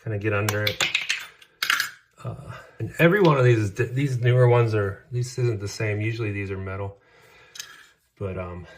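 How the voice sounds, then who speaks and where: A man doing a casual how-to vlog as a flooring installer, rambling and correcting himself, sniffly from a cold, filming on a handheld camera. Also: fairly low pitch. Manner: rambling, self-correcting, chatty asides. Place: indoor bathroom on a job site. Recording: echoey room with noise behind.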